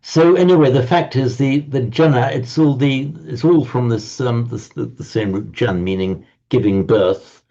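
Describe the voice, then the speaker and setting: A man's voice: mid-range voice, moderate pace, few pauses, mellow, dull audio. An older man teaching a language class, playfully pedantic and prone to stumbling repetitions.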